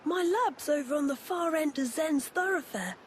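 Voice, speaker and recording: A woman's voice: high-pitched, expressive, moderate pace, slight background noise. A woman voicing an eager, bookish young boy in a video game, chirpy and informal. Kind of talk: video game cutscene acting.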